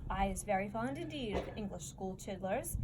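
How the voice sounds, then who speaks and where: A woman voicing a greedy, bullying male giant who shouts in mangled comic grammar in a storybook reading. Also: medium pitch, animated, moderate pace, background noise, lightly acted. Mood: greedy, boastful. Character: greedy bullying man-eating giant, male, foul-tempered.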